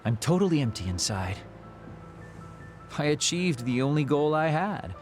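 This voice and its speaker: A man's voice: a little high, sing-song, unhurried, a little mellow. An actor playing a teasing, world-weary immortal man in a video game cutscene. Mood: weary, hollow. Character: burned-out, playful man two thousand years old, hollow inside. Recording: music behind the voice.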